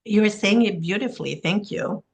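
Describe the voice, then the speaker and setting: A non-binary therapist from Italy, with Italian as a first language, being interviewed as a guest expert. They speak warmly and earnestly over a video call, often listing things in long runs. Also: mid-range voice, moderate pace, creaky, husky. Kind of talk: interview, self-introduction.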